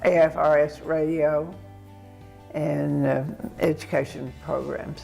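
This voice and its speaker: An elderly woman from Tennessee recounts her years in the army in an unhurried, reflective way, clearing her throat now and then. Her voice is low and very gravelly, and her pace is slow. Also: flat delivery, a little mellow, soft background music. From Tennessee, United States. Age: older. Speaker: veteran guest. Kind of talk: recalling wartime memories.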